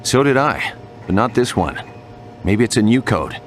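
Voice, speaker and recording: An actor playing a businesslike, deferential technician underling in a video game, speaking matter-of-factly. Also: male voice, very animated, moderate pace, lightly acted. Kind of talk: video game cutscene acting. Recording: rumbling noise behind the voice.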